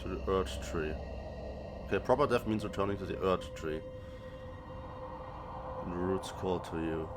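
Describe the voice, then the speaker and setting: A man's voice: low-pitched, normal speed, pauses often, background noise. A man reacting casually while playing a video game, laid-back and joking with muttered asides. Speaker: gaming player commentator.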